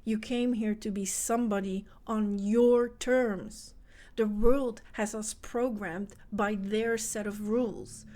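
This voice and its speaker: A woman's voice: mid-range voice, fairly even intonation, slow pace, mellow. A woman from the Netherlands with a Dutch accent and Dutch as her first language, speaking English in an earnest motivational podcast monologue that addresses the listener directly as a self-help coach for mothers.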